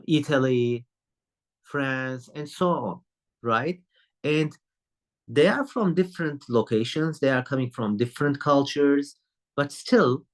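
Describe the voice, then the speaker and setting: Turkish-accented man lecturing, checking with "right?". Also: mid-range voice. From Turkey. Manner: frequent tag question "right?" and filler "um". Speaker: university lecturer. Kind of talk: recorded online lecture. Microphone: computer mic on a video call.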